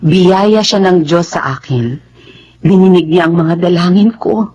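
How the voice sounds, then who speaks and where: An actress playing a soft-hearted, grateful mother in a Tagalog radio drama. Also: fairly low pitch, a little slow, slightly rough, muffled recording. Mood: grateful, reminiscing. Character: grateful, soft-hearted widowed mother remarried for her children.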